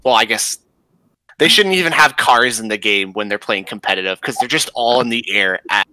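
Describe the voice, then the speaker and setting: A young man co-hosting a gaming podcast, talking with animated enthusiasm in casual group chat. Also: on the low side, moderate pace, a crowd in the background, poor audio. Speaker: podcast co-host.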